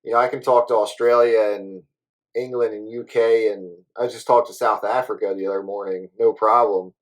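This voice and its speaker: A man's voice: on the low side, fairly even intonation, normal speed, rough recording. A man chatting casually as a hobby radio operator, rambling along in an easygoing way.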